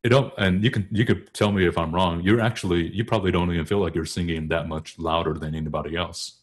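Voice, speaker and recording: Deep-voiced man explaining singing technique. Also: fairly even intonation, fast talker. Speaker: choral bass singer discussing vocal technique.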